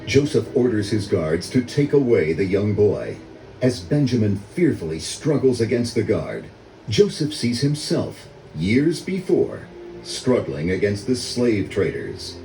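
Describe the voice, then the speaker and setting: A man's voice: fairly low pitch, very animated, measured pace, dark tone, soft background music. An older man giving scripted narration for a storybook read-along. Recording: roomy, distant, faintly noisy audio.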